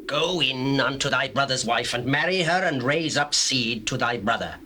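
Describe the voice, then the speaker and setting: Guy playing stern bible patriarch, solemn theatrical delivery, bright forceful voice, bit of tape noise. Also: mid-range voice, moderate pace, natural voice. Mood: grave command. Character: Judah, stern biblical patriarch and father-in-law. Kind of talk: scripture dramatization, theatrical acting. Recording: hissy old cassette tape, birdsong behind.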